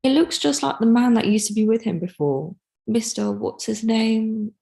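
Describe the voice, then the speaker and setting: A woman's voice: fairly high pitch, normal speed, vocal fry, warm tone. A woman playing a curious, blunt young daughter in a period drama reading.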